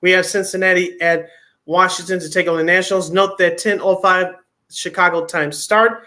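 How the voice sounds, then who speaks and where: Man, sports radio co-host, high voice, bright tone, studio mic, quick schedule reading with wry asides. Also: fairly even intonation. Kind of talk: casual sports radio chat.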